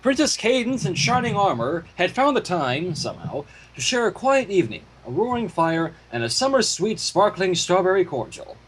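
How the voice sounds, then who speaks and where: A man narrating fan fiction as an expressive storyteller. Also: high-pitched, normal speed, hardly any pauses, a little hiss or hum, low-quality recording.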